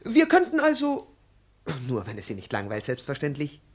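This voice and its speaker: An actor in a 1950s radio play playing a fussy, excitable aging professor, over-polite and halting, then imperious. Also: male voice, on the high side. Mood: fawning, fussy. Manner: obsequious and halting, turning imperious. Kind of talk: 1950s German-language radio play acting.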